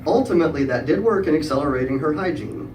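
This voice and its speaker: A middle-aged man tells a humorous story live, in a prepared style with wry, dry wit and comic pauses. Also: fairly high pitch, lively intonation, a little mellow. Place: live event room with audience. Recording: echoey room, distant mic, clear background noise.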